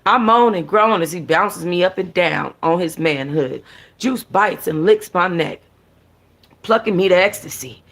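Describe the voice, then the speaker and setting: A woman reading a novel aloud in an expressive, conversational, sassy way with casual slangy asides, as a book club host. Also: fairly low pitch, unhurried, gravelly. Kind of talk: expressive first-person audiobook-style reading with casual asides.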